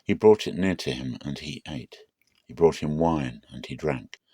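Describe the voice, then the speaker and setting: A man narrating the Bible aloud as a dramatic reading, in a measured, solemn and expressive storytelling manner. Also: a bass voice, slightly rough, no echo.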